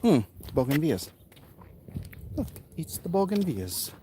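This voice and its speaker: A man's voice: on the high side, sing-song, unhurried. A middle-aged American man rambling casually to viewers, a little out of breath as he walks outdoors, offhand and self-deprecating. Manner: offhand, self-deprecating, talking while exerting himself. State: winded from walking uphill. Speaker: vlogger, artist. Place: outdoors, walking hilly residential streets. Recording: noisy outdoor walking recording with clicks.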